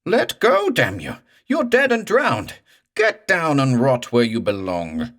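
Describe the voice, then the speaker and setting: A man's voice: mid-range voice, huge pitch swings, takes it slowly, a bit bright, voice pushed up, heavily acted. A man voicing a terrified man in a boat whose voice goes raw and screeching with panic. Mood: frantic.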